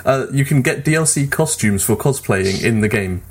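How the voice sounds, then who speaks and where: A man's voice: mid-range voice, expressive, normal speed, slight background noise. A man co-hosting a podcast, chatting casually about video games. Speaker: podcast co-host.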